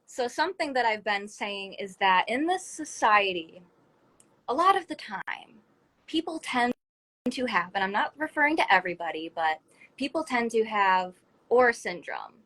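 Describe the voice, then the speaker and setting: Bright-voiced, effusive woman hosting. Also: a little high, animated, moderate pace, poor audio. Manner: effusive, encouraging. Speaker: talk show host interviewing a guest. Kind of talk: casual livestream interview chat. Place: livestream video call from a phone.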